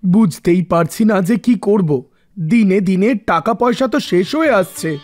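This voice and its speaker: An actor voicing a wistful, brooding god of death in a cartoon story, musing in a deliberate manner. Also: medium pitch, lively intonation, darkish tone, faint music behind, natural voice. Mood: troubled. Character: Yamraj, male god of death, wistful, brooding, advising father. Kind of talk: Bengali animated storybook dialogue.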